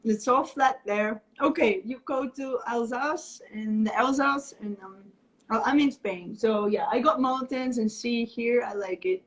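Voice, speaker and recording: A woman's voice: a little high, measured pace, rough recording. A woman from the Netherlands with a Dutch accent, hosting a casual video-call chat, bubbly and chatty with frequent laughter. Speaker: host interviewer.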